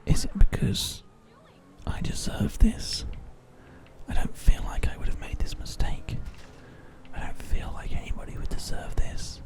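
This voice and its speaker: A man whispering slowly into a binaural microphone, musing through an ASMR gameplay monologue. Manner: soft unvoiced whisper, slow musing questions. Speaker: ASMR gaming host.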